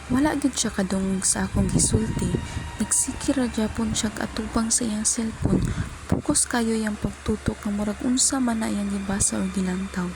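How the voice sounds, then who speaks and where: A woman's voice: medium pitch, moderate pace, background noise, lightly acted. A woman playing a young woman who narrates her own romance in a scripted radio drama. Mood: troubled. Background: music.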